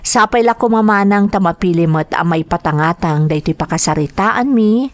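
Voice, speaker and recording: A Filipino-accented woman hosts on radio, reading greetings and letters aloud. Her voice is on the low side, with a close, dry studio sound. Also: moderate pace, dry recording. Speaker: radio host and letter reader.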